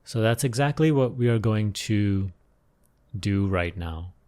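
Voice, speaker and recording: A man leading an unscripted guided meditation as a teacher, giving gentle, unhurried instructions with pauses and repeated phrases. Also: lowish voice, expressive.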